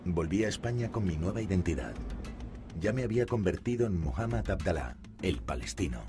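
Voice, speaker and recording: Male narrator for a documentary, very low voice, steady first-person read over clear music. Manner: calm, measured first-person telling. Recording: music playing behind.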